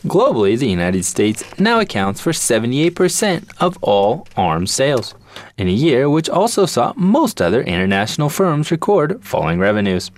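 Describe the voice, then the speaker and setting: A man's voice: mid-range voice, big pitch swings, normal speed, no room sound. A man reading a scripted radio news report.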